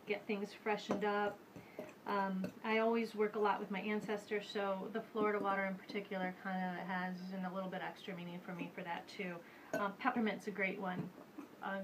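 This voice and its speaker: A woman's voice: mid-range voice, slight background noise, rough recording. A woman in her thirties hosting a casual how-to demonstration in her home kitchen. She chats loosely and thinks aloud with plenty of fillers. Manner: chatty, relaxed, thinks aloud with many fillers. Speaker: host of a home witchcraft show. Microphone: camera mic at medium distance.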